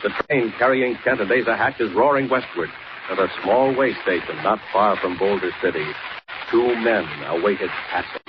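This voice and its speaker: A man's voice: on the low side, moderate pace. A man narrating and announcing a 1940s radio serial in a dramatic, declamatory manner. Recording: muffled, noisy old 1940s radio recording.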